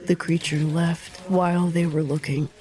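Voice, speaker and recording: A woman's voice: low-pitched, level tone, moderate pace, dark tone, slight background noise, natural voice. A woman playing a lost, haunted wanderer in an audio drama, telling and living out their own story. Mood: somber narration. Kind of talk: audio drama narration and acting.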